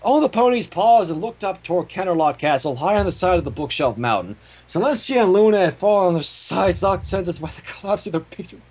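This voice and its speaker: Man, story narrator, higher-pitched voice, lively swings, slightly husky. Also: fast, few pauses, a little hiss or hum. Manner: animated, profane asides. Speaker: fan fiction reader and narrator. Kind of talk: narrated story reading with casual asides.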